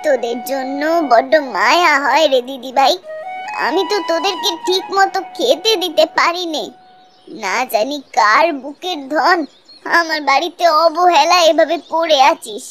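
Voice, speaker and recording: A woman voicing an affectionate, gently scolding old village grandmother in a cartoon, in a folksy, fond way. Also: sits high, unhurried. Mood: tender, sorrowful. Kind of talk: Bengali cartoon voice acting. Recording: loud music behind the voice. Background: music.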